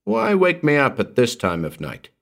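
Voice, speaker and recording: A man voicing an irritable, alarmed carnival tough with a forceful, demanding delivery in a dramatic story. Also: mid-range voice, huge pitch swings, normal speed, gravelly, voice pushed up, exaggerated voice. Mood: angry irritation. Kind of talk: dramatic audiobook character reading.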